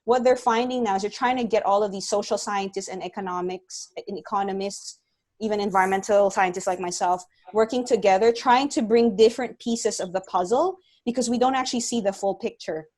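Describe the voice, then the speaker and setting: A woman's voice: mid-range voice, brisk, a little mellow. A woman, an environmental scientist, giving an earnest, conversational webinar talk over a video call. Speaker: guest speaker, environmental scientist.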